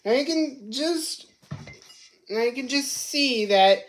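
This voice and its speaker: A woman talks slowly through a home cooking demo, often restarting and echoing what she has just been told. Her voice sits at a middling pitch, with clear background hiss and kitchen clatter from the camera mic in a home kitchen. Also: animated. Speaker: cooking show host. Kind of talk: casual cooking demo, repeating instructions.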